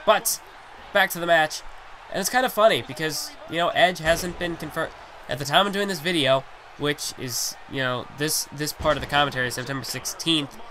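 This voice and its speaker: A man with a strained, hoarse voice that is starting to give out, yet still bright and sharp in tone. He rambles through play-by-play commentary on a wrestling video game, padding his thoughts with filler phrases. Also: on the high side, moderate pace, slight background noise. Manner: rambling, heavy on fillers like "you know".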